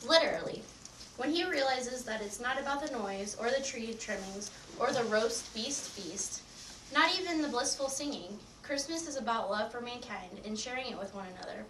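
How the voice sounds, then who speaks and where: A woman's voice: a little high, expressive, moderate pace, fairly bright tone. A young woman giving a scripted devotional reading in a readers theatre performance. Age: young adult. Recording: echoey room, distant mic, faint noise.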